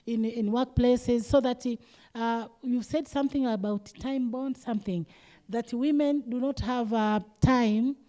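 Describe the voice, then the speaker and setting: A woman's voice: a little high, mellow. A woman from Tanzania speaking as a conference panelist, hesitant and often repeating words. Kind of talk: panel discussion remarks.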